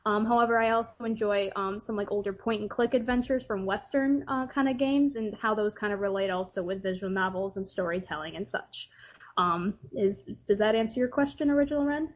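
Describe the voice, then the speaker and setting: A woman's voice: medium pitch, brisk. Girl guesting on a podcast, casual n kinda hesitant, muffled call sound. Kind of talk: casual chat.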